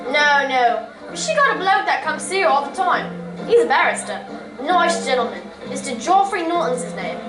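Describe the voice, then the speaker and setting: A woman's voice: sits high, sing-song, moderate pace, music in the background. A woman playing a chatty working-class London servant in a staged radio drama, with gossipy Cockney-style talk. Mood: chatty, friendly. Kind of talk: old-time radio drama reading on stage. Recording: echoey stage recording from far off.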